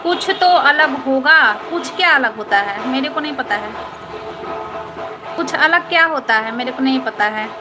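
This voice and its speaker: High-voiced woman chatting live. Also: lively intonation, normal speed, music in the background, low-quality recording. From Patna, Bihar. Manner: chatty, repetitive, keeps asking "understood?". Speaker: live-stream host answering viewer comments. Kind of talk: casual live-stream chat.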